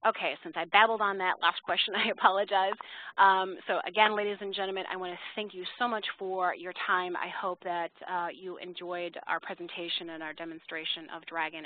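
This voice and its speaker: Woman presenter on a tinny phone line. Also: on the low side. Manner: polite, formal address to audience. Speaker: webinar presenter for a software company. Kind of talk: informal webinar question-and-answer session.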